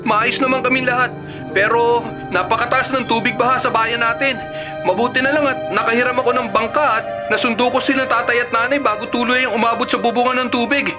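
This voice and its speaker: An actor playing an earnest, troubled younger brother in a Filipino radio drama. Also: male voice, extremely high pitch, steady tone, brisk. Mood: troubled, weary. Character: earnest younger brother reporting flood disaster. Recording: music behind the dialogue.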